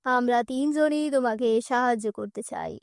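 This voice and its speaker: A woman voicing a calm, mysterious magic book in a children's fairy-tale cartoon, solemn and reassuring. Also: on the high side, normal speed, vocal fry, no echo, studio quality.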